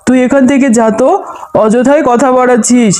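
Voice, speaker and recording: A man's voice: extremely high pitch, fairly even intonation, a little fast, darkish tone. A man voicing a stingy, impatient tiger shopkeeper who scolds curtly in a children's cartoon story. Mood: irritated, dismissive. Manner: scolding, curt.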